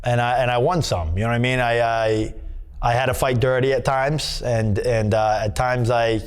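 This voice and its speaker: Rambling New boxer, filler-heavy. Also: male voice, mid-range voice, fairly even intonation, normal speed, smooth. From Massachusetts. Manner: rambling, many fillers and restarts. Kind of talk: candid sit-down interview. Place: boxing gym.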